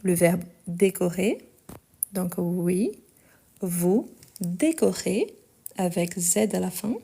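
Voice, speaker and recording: A woman teaching French in a live lesson, dictating each sentence slowly and patiently explaining the conjugation rules. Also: on the low side, creaky, mellow, breathy edge. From Portugal. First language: Portuguese.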